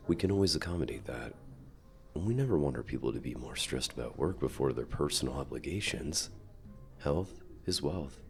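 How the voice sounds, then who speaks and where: A man playing a suave, dominant company CEO in an ASMR roleplay, speaking to the listener with measured, polite, quietly controlling reassurance and deliberate pauses. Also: extremely low pitch, creaky, full character voice. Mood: solicitous, composed. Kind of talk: ASMR roleplay acting, addressing the listener directly.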